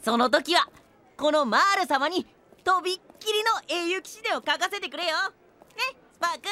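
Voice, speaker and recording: A woman playing a cheeky young minstrel companion in a Japanese audio drama, lively, teasing and encouraging. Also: very high-pitched, normal speed. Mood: cheerful, encouraging.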